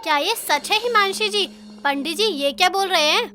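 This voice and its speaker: A woman's voice: very high voice, moderate pace, bright, forceful tone, faint music behind. A woman voicing a proper, easily indignant middle-aged mother in a cartoon story, formal and firm. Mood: shocked. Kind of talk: Hindi cartoon story voice acting.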